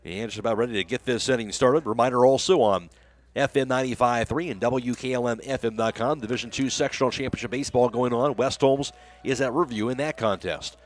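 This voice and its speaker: A man's voice: mid-range voice, a little fast. An American man doing live radio play-by-play commentary of a baseball game.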